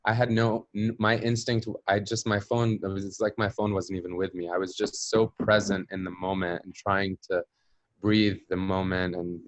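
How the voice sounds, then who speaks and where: A man's voice: sits low, level tone, normal speed, no echo. A man with an American accent, telling a personal story as an interview guest, reflective and searching for words with frequent fillers. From Palestinian diaspora. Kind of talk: conversational storytelling.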